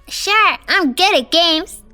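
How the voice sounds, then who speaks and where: A woman's voice: extremely high pitch, animated, takes it slowly, pushed and bright, soft background music, exaggerated voice. A woman playing a cheerful, curious four-year-old girl in an audio drama, with a sweet, childlike manner. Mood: cheerful, proud.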